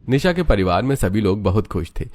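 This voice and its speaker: A man's voice: lowish voice, big pitch swings, brisk, darkish tone, no room sound. A man reading scripted story narration as the narrator of a tale.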